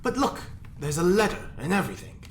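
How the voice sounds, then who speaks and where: A man's voice: high voice, very animated, brisk. A man playing a pampered, fussy, arch prisoner in an audio drama, speaking in a coy, theatrical drawl. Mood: gossipy, delighted. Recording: echoey room, faint noise.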